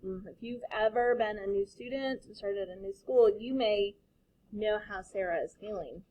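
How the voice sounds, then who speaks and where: A woman teacher reading a picture book aloud to children, expressive and pausing to explain. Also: mid-range voice, rough recording.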